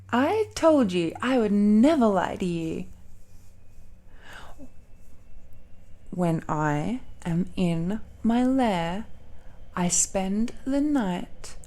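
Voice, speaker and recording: A woman's voice: on the low side, dark tone. A woman playing an affectionate, teasing dragon-blooded girlfriend in an intimate romantic audio roleplay, speaking in a coy and playful way. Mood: proud, playful. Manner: slow, playful, giggly, coy.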